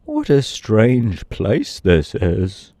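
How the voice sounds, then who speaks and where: Man putting on a talking sawhorse, slow wondering drawl, simple and humble, storybook. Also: on the low side, wildly swooping pitch, mellow, no echo, exaggerated voice. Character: simple, humble wooden sawhorse come to life.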